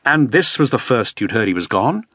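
A man voicing an impatient young Elizabethan man who presses with raised, sharp questions. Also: medium pitch, big pitch swings, moderate pace, slightly rough, no room sound, studio quality, voice pushed up, exaggerated voice. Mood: insistent.

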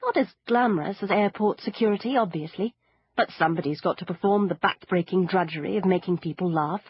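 A woman's voice: on the low side, brisk, husky, no echo, muffled recording. A woman voicing an impatient teenage girl who talks with a dry, sarcastic edge. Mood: wry.

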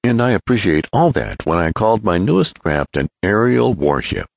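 A man's voice: low-pitched, sing-song, normal speed, creaky, no echo, pitched above the performer's own voice, heavily acted. A man voicing an earnest, confident young male inventor in an adventure storybook, explaining things keenly. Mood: explaining, assured. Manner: explanatory, keen.

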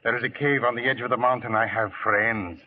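An actor playing a gentle, conscientious old guerrilla guide, speaking solemnly and simply in vintage radio drama style. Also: male voice, medium pitch, fairly even intonation, fairly quick, lightly acted. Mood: hushed, watchful. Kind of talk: 1940s radio acting. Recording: narrowband vintage radio recording, faint music.

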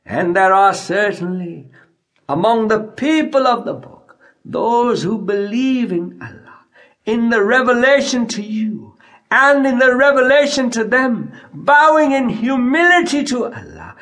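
Slow, high-pitched man reciting scripture. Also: very animated, a bit bright. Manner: measured, declamatory phrasing with frequent pauses. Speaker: audiobook narrator.

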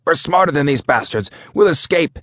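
A man's voice: fairly high pitch, animated, normal speed, a bit bright, no echo, studio quality, voice pushed up. A man voicing a cornered, defiant guardsman in a fantasy audiobook, urgent and scornful. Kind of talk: audiobook character voice.

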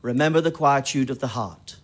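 An actor playing a grave, principled Quaker shoemaker and family patriarch in an audio drama, speaking in a solemn, measured, preaching way. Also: male voice, medium pitch, close to an everyday voice. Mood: solemn, devout. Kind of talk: audio drama stage acting.

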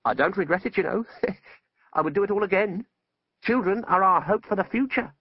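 Bitter, high-strung exiled wizard played by a man. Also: lively intonation, normal speed, muffled recording. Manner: impassioned, indignant, snarling. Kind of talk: audiobook character voice.

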